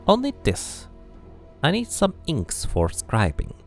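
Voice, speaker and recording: An old village witch from a fantasy game, voiced by a man, weary and knowing. The voice is pitched up and lilting, with an archaic folksy turn of phrase. A touch of creak sits in it. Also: huge pitch swings, slow, soft background music, heavily acted. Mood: businesslike request.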